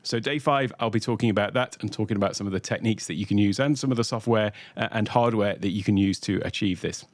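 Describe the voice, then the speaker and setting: A middle-aged man with a British accent runs a live-streamed workshop, chatting loosely and pausing often on "uh". His voice sits fairly low, and the sound is dry and close, with no room echo. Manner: relaxed, conversational, many "uh" fillers, greets chat viewers. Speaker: workshop host and tech presenter. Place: home studio.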